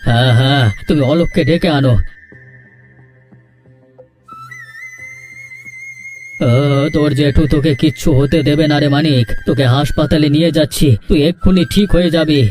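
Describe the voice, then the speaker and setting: An actor playing a stubborn, remorseful uncle who heads a family, speaking in an emotional, pleading cartoon melodrama style. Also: male voice, a little high, steady tone, fast talker, few pauses, a little mellow, soft background music, close to an everyday voice. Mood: panicked, pleading.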